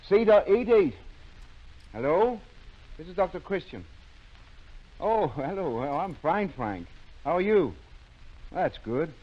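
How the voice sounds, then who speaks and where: An actor playing a kindly, shrewd middle-aged town doctor in an old radio drama, with a hesitant, gentle manner. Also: male voice, sits high, sing-song, slow pace, pauses often, exaggerated voice. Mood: probing, genial. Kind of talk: 1930s-40s radio drama acting. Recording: dull lo-fi old radio recording.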